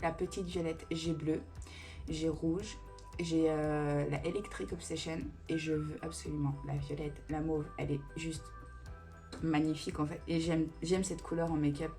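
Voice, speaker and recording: Casual beauty vlogger, woman in her thirties, lowish voice, chatty, rambles with fillers, faint music behind. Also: mellow. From Italian family roots. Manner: chatty and digressive, with many fillers. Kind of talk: casual Q&A chat.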